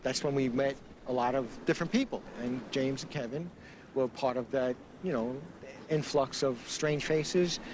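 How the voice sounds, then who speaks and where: A man's voice: medium pitch, normal speed. An older man from New York telling anecdotes casually in a documentary interview. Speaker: interviewee, surfer. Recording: noisy outdoor ambience with vehicles.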